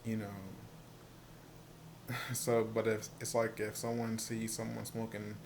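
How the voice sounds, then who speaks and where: A man with a soft voice, stuttering and repeating words as he talks casually to the camera in a personal vlog. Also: on the low side, completely flat delivery, slight background noise. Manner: stuttering, halting with many repeated words and fillers. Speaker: vlogger.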